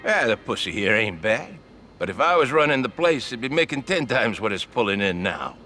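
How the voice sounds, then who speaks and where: An actor playing a crude, sly old-guard mobster in a video game, blunt and needling. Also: male voice, mid-range voice, very animated, moderate pace, sharp tone, faint music behind. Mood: sly, appraising. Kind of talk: video game cutscene acting.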